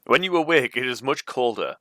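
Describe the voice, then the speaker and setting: Bright-voiced man reading a gamebook aloud. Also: medium pitch, expressive, brisk, dry recording, studio quality. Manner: informal, chatty asides. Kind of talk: storybook read-aloud with casual commentary.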